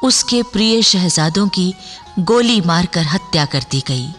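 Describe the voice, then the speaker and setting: Radio drama announcer, female: measured dramatic narration, slow pace, light music bed. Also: on the low side, animated. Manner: measured, solemn, formal.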